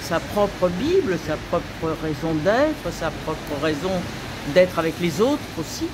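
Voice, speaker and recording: Woman, 85, street interviewee, reflective and halting, loud outdoor background noise. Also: on the low side, very animated. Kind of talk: spontaneous street conversation. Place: outside a shop entrance on a city street. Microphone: small lavalier held near the mouth.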